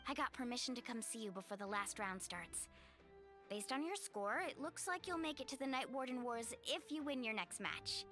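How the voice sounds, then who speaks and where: A woman's voice: fairly high pitch, expressive, normal speed, music in the background, lightly acted. A woman voicing a confident, kind and resolute young warrior girl in an anime-style video game. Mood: friendly.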